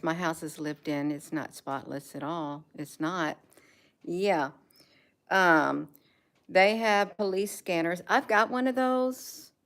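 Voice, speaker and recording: American woman, low register, casual home vlogger, unscripted and repetitive. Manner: repeats words and phrases for emphasis. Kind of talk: casual rambling chat, showing gadgets. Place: indoors at home.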